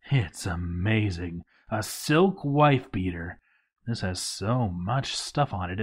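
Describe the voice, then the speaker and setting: A man narrating a written game playthrough log in a dramatized read-aloud style, theatrical and full of deliberate pauses. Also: medium pitch.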